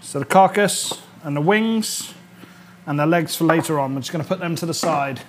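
British guy in his 30s doing a chatty cooking demo, voice a bit high, noticeable room noise behind. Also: moderate pace. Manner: chatty, instructional, often checks with "all right?". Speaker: chef presenting a cooking series. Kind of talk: informal cooking demonstration. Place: indoor kitchen studio set.

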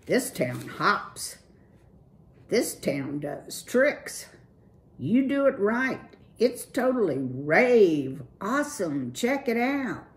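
A woman's voice: mid-range voice, very animated, extremely slow, pitched above the performer's own voice, full character voice. A woman voicing a cool, slang-talking young male city mouse with a laid-back, breezy drawl. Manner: laid-back surfer slang, keeps saying "dude".

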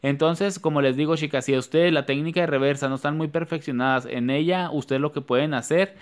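A man's voice: a little high, a little fast, clear, resonant tone. A man talking viewers through a nail tutorial in a chatty, repetitive way. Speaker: nail artist. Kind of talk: casual nail tutorial voice-over.

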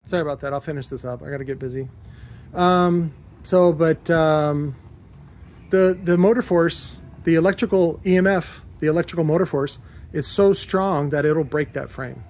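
A man's voice: a little high, moderate pace, darkish tone. An American man giving a casual, off-the-cuff workshop walkthrough, rambling and informal as he explains his equipment. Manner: rambling, informal, occasionally profane. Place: cluttered industrial workshop indoors. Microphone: handheld camera held by the speaker.

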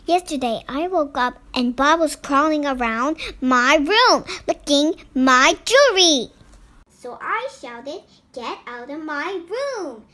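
A child reads a storybook aloud in a very high, bright voice. The delivery is dramatic and unhurried, taking its time over each phrase. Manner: expressive, dramatic. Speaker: narrator reading in the main character's voice. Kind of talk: scripted storybook read-aloud.

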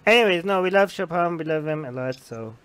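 A man's voice: a little high, animated, fairly quick, fairly bright tone, smooth. A man hosting a casual livestream from a small room at home on a headset mic. He teases, laughs often and repeats himself. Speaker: show host. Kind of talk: casual livestream chat, teasing.

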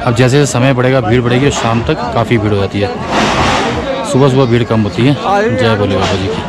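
A man's voice: mid-range voice, steady tone, a little fast, over loud music. A man walking through a crowded mountain pilgrimage site with an action camera, pointing out the queues and stalls in plain, repetitive commentary. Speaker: pilgrimage vlogger. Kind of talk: walking vlog narration. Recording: outdoor crowd noise.

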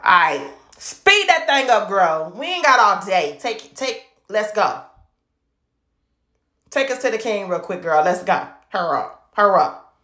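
A woman's voice: medium pitch, very animated, measured pace, sharp tone, echoey room. An American woman vlogger narrating casually in a playful, sassy, slangy way. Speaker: vlogger showing a hairstyle.

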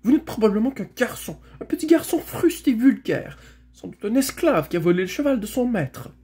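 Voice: high-pitched, very animated, moderate pace, warm tone, voice pushed up. A storybook reader voicing a haughty young noble girl who speaks in a snappish, imperious way. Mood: aggressive, scornful.

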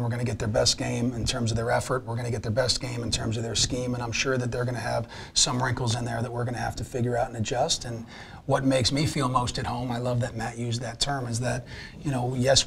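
A man in his forties, a head football coach, talks in a studio interview on a lapel mic. His voice is gravelly with little rise and fall in pitch. He answers in a measured, thoughtful way, often pausing on fillers. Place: small TV studio.

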